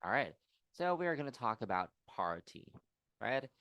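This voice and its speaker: A man's voice: medium pitch, big pitch swings, moderate pace, a bit bright, no room sound, lo-fi sound. A man teaching an English class over a video call, patient and encouraging, checking in with his students often.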